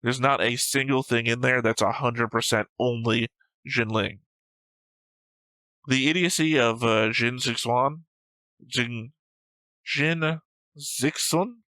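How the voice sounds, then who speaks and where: A man with a bright, sharp voice in a very dry recording. He talks like a co-host in a casual debate, picking points apart in a matter-of-fact, argumentative manner. His pitch sits in the middle. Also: slow, pauses often, no echo, low-quality recording. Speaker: co-host of an anime reaction show. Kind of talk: casual analytical debate, discussion.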